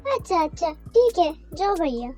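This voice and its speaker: A man's voice: very high voice, big pitch swings, unhurried, dark tone, soft background music, heavily acted. A man voicing a friendly palace official who greets visitors in an Urdu moral cartoon story.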